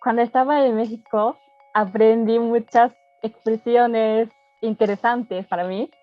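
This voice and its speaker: A woman who is not a native Spanish speaker, answering interview questions carefully with many pauses and fillers. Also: on the high side, steady tone, dry recording, dull audio, soft background music. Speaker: guest, language learner.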